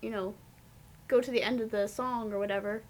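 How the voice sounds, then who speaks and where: A woman's voice: medium pitch, fairly even intonation, brisk, natural voice. A woman voicing a chirpy bird mascot who supervises coding in a conversational, opinionated way. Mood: explanatory.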